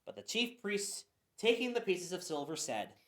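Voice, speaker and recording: Low-voiced woman reading scripture aloud. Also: normal speed. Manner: expressive reading with occasional stumbles. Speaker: scripture reader. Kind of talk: dramatic Bible reading. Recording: roomy echo.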